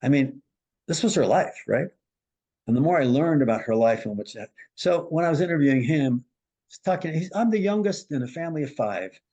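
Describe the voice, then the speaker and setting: A man, a marriage and family therapist, recounting a counseling case as a lively storyteller who acts out the people he quotes. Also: a little fast, lo-fi sound. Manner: animated storyteller, acts out quoted voices with big pitch jumps.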